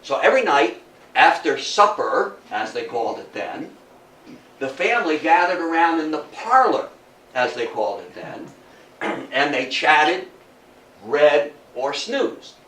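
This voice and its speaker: A man's voice: fairly high pitch, big pitch swings, a bit bright. Older man lecturing in a roomy space. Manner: theatrical, emphatic, with dramatic pauses and rhetorical questions. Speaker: history lecturer. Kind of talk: public lecture with storytelling. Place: indoor talk room before an audience. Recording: roomy, distant mic, faint noise.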